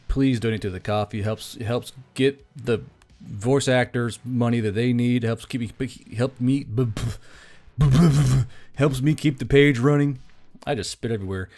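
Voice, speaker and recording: Man, mid-pitched, channel host, casual rambling, folksy, crude language. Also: a little mellow.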